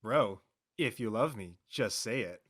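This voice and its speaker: A man playing an excitable, loyal high school senior jock, speaking in slangy exclamations in an audio play. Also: measured pace, breathy edge, full character voice. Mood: puzzled, sincere. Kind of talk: naturalistic dialogue in a live-streamed audio play.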